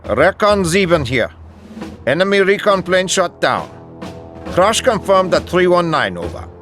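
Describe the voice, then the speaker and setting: An actor playing a smug German army recon scout in a World War II game, reporting in clipped radio phrases. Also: male voice, high voice, sing-song, unhurried, a bit bright, faint music behind, full character voice. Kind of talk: video game cutscene acting.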